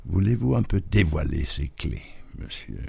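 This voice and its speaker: A man interviewing a guest, speaking in a flowery, effusive and deliberate way. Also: low voice, big pitch swings, creaky, darkish tone, husky. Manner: flowery, effusive praise with slow deliberate delivery. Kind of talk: formal radio interview.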